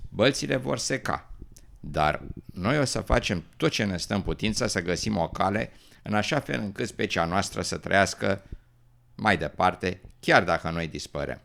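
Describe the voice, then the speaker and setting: A kind, earnest newt with dreams of travel, acted by a man in an educational radio drama. The voice sits low and has a gravelly creak. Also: fairly bright tone, full character voice.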